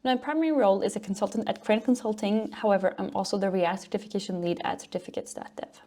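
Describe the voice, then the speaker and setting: A woman from Norway speaks English. She presents as a conference speaker, explaining technical material in an informal, upbeat way. Her voice sits at an average pitch for a woman. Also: fast talker, dark tone. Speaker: conference speaker, front-end developer and educator.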